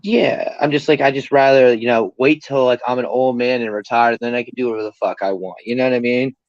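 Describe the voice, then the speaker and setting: Pria muda yang bicara santai dan penuh kata pengisi, suara agak redup. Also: male voice, mid-range voice, steady tone, brisk, lo-fi sound. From Pennsylvania. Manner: rambling, heavy filler words, swears freely. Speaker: guest in recovery. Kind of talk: candid casual conversation.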